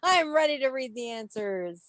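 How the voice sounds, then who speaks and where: A woman's voice: high-pitched, expressive, moderate pace, fairly bright tone, slight background noise. A woman hosting a quiz over a video call in a playful, teasing, theatrical way. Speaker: quiz host. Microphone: video call microphone.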